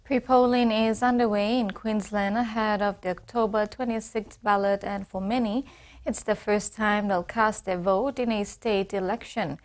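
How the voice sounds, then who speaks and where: A woman's voice: mid-range voice, fairly even intonation, normal speed. Woman reading the news. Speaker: news presenter.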